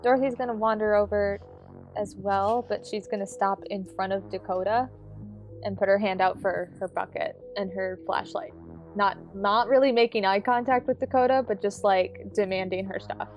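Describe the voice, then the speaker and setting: Mid-pitched woman playing a tabletop game. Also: steady tone, moderate pace, soft background music. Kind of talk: describing her character's actions in a tabletop game.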